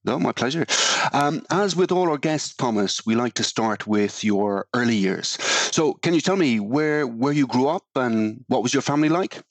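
A male podcast host reads a formal introduction and asks questions in a measured, polite tone. His voice sits in a middle range. The recording is dry and close, with no room sound. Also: medium pitch, animated, dry recording. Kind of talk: scripted guest introduction and interview questions.

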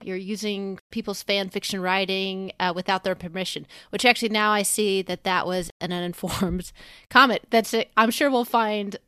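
A woman's voice: mid-range voice, steady tone, normal speed, fairly bright tone, clear, resonant tone, no room sound. A woman co-hosting a podcast in casual discussion, thinking aloud in a rambling, hedged way. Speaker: podcast co-host.

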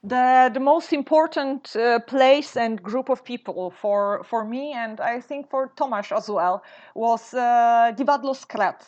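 A Polish woman in her thirties, a guest speaking in an interview, often pausing on fillers and restarting her sentences. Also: on the high side, a little mellow. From Poland. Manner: hesitant, many fillers and restarts. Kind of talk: interview conversation. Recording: dull lo-fi sound.

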